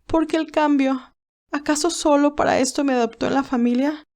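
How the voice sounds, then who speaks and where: A woman's voice: sits high, steady tone, normal speed, a little mellow, voice pushed up. A woman voicing a passionate, wounded young woman who pleads and protests defiantly in an audiobook story. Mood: anguished, pleading. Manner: pleading, emphatic questions.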